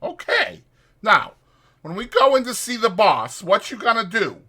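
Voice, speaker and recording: Man voicing short-tempered rustic minder, sharp bright tone, scolding, wildly animated delivery, audiobook drama. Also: high-pitched, unhurried, breathy edge, voice pushed up, exaggerated voice. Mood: bossy, instructing. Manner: exasperated, scolding, rustic ungrammatical speech.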